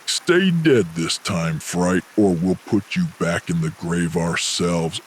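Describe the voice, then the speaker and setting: Man playing a slow, deep, threatening thug. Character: hulking thuggish male wrestling enforcer. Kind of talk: noir audio drama acting. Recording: hissy rain noise behind voice.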